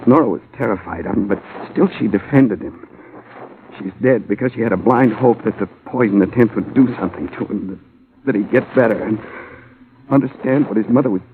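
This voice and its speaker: An actor playing a somber, grieving physician in a 1950s radio drama, explaining gravely in a subdued manner. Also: male voice, fairly low pitch, a little fast, close to an everyday voice. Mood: mournful. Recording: noisy, tinny vintage radio recording.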